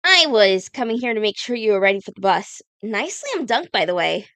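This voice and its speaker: A woman's voice: medium pitch, sing-song, a little fast, heavy background noise. A woman playing an earnest, guilt-ridden teenage girl in a cartoon comic dub, speaking in a sincere, dramatic way. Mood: friendly, wistful.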